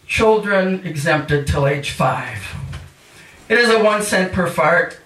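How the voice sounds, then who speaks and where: An older man reading poetry live and chatting between pieces with wry, mock-highbrow humour. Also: fairly bright tone. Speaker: featured poet at a reading. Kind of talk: live poetry reading with casual rambling introductions. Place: indoor cafe poetry reading. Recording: echoey room, distant mic, hissy noise.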